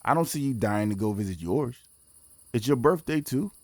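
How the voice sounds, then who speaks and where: A man playing a guarded, pragmatic mission leader in his forties in a naturalistic audio drama, speaking in a measured, reflective way. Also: medium pitch, animated, no echo. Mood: guarded, conflicted.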